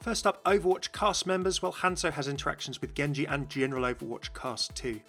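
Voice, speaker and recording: A man's voice: a little high, brisk, fairly bright tone, soft background music. A man giving upbeat, informative explanatory commentary as narrator of a video game channel, recorded close on mic.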